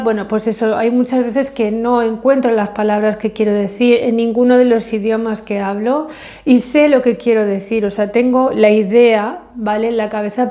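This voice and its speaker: Hesitant woman with a mellow, higher voice. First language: English. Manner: hesitant, many fillers and self-corrections. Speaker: vlogger. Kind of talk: casual personal monologue to camera.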